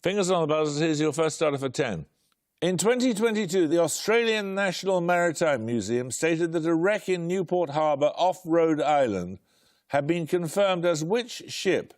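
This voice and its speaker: Quiz host, male, slightly high voice, brisk and wry, dry close sound. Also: expressive, no room sound. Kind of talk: formal quiz presenting, reading questions.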